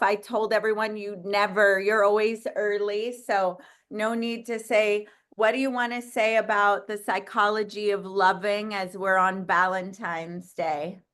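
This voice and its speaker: A woman hosts a video call with a loud voice at a middle pitch. She talks slowly and effusively, trailing off and starting her sentences over. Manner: effusive, hesitant, with many restarts. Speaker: host. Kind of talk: casual hosting chat.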